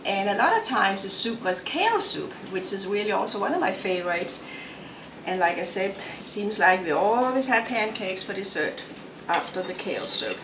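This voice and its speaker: A middle-aged woman with a Danish accent, giving a casual, unhurried cooking demonstration step by step. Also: mid-range voice, sing-song. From Denmark. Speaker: home cook and cooking show host. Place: home kitchen, indoors. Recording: echoey room, loud noise, kitchen clatter.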